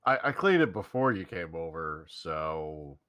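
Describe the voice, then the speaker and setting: A man's voice: sits low, sing-song, unhurried, a bit bright, full character voice. A man reading the part of an awkward teenage boy in a casual staged reading, stammering and repeating himself nervously. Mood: flustered. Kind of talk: casual script read-aloud.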